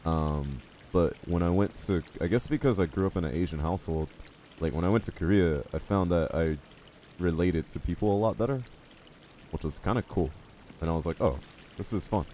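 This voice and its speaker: Low-voiced man chatting while streaming a game. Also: brisk, dark tone, slight background noise. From United States. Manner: rambling, self-deprecating, reads chat aloud. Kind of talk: casual gameplay commentary, chatting with viewers.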